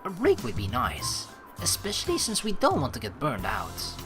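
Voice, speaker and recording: A woman's voice: a bass voice, huge pitch swings, moderate pace. A woman doing casual, chatty, rambling let's play commentary, reading out a game and reacting playfully. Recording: music under the voice. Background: game music.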